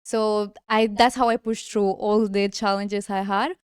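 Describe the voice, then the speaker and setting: A young woman with a Colombian accent and Spanish as her first language chats casually as a guest. Her mid-pitched voice is strikingly clear and smooth. The sound is dry, with little room echo. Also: level tone, a little slow. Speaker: interview guest, studio owner. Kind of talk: casual chat.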